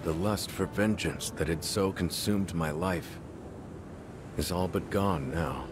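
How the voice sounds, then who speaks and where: A man voicing a weary, remorseful former director turned clone in a video game, speaking in a grave, reflective, measured way. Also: background noise. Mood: reflective, earnest. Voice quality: low, measured. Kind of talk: dramatic video game voice acting.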